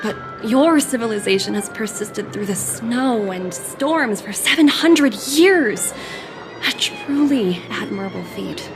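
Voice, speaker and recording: A woman's voice: fairly high pitch, expressive, takes it slowly, rough recording. A woman playing a poised, shrewd corporate executive in a video game, with articulate, formal, businesslike delivery. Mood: admiring, reflective. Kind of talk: scripted video game cutscene acting. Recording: loud game music behind the voice. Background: music.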